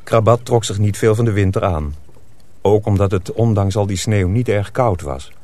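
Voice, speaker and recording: A man's voice: on the low side, moderate pace, mellow, close to an everyday voice. A man narrating a wintry folk tale as scripted radio drama storytelling.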